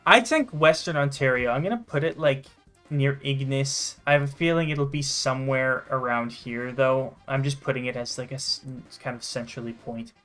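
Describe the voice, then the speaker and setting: A man chatting casually while playing a game, excitable and quick to swear. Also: medium pitch, soft background music. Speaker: gamer co-hosting a gameplay video.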